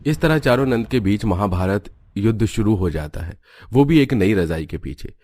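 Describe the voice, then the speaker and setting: A man's voice: fairly low pitch, lively intonation, normal speed, darkish tone, dry recording, clean studio sound. A man giving scripted narration for a cartoon story in Hindi.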